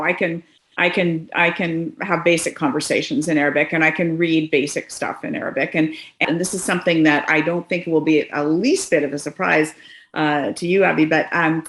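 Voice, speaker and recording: A Canadian woman in her sixties, a guest on a video call from her living room, talks in a lively way with frequent laughs and quick asides. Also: low voice, echoey room. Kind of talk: casual conversation. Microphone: webcam on a video call.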